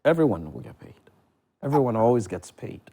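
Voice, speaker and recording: Low-pitched Ghanaian man, interview guest. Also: big pitch swings, brisk, vocal fry, dark tone. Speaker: theatre producer and director, interview guest. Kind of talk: conversational TV interview. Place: television studio.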